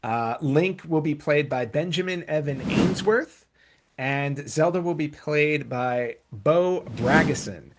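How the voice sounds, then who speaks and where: A man's voice: a little high, slow pace, heavy background noise. A man speaking as a podcast host in casual conversation, rambling and often rephrasing himself mid-sentence. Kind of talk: casual conversational podcast talk about entertainment news.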